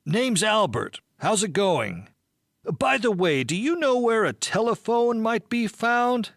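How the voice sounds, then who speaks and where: A man's voice: sits high, big pitch swings, slow pace, a bit bright, no echo, studio quality, heavily acted. A man voicing a polite, curious and eager young male traveler in an audiobook. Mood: casual, curious. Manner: courteous, inquisitive. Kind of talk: audiobook character voice.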